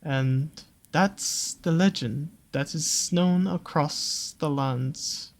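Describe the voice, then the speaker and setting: A performer plays a possessive serpent creature from a fantasy audio roleplay. The delivery is extremely slow and soothing, at a medium pitch. Every phrase is coaxing and doting, with a sly edge beneath the care. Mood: solemn storytelling. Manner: unhurried, coaxing, doting with pet names.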